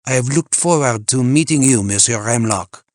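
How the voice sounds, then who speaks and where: A man voicing a cool, exacting mountaineer in an audiobook, with a formal, measured manner. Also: medium pitch, darkish tone, voice pushed up, close to an everyday voice. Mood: measured, evaluative. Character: cool, appraising French male climber, formal and exacting.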